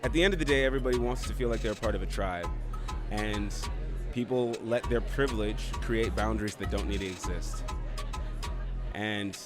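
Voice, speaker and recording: An American man, a guest on a red carpet, answering in a thoughtful, measured way with some restarts. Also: on the low side. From United States. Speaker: actor guest. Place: outdoor red carpet event. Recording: outdoor event with music.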